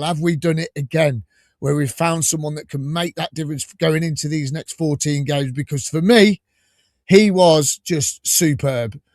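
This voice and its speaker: Man, podcast host, enthusiastic, rambling, a bit croaky from a cold, higher voice. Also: no room sound, clean studio sound. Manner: enthusiastic, rambling, laughs often. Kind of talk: live fan podcast chat.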